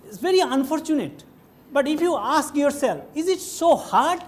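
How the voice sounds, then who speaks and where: High-voiced Bengali-accented man lecturing. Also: animated, normal speed. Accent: Indian English, Bengali. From India (West Bengal). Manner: conversational, digressive, asks the audience questions. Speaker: guest lecturer. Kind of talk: classroom lecture. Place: large lecture hall with stage.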